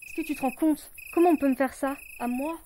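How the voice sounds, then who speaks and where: High-pitched, indignant tulip, voiced by a woman. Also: fairly quick, warm tone, slight background noise, lightly acted. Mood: outraged, hurt. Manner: outraged complaining, coarse colloquial speech. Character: indignant, melodramatic female tulip, feeling abandoned. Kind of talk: acted audio fiction.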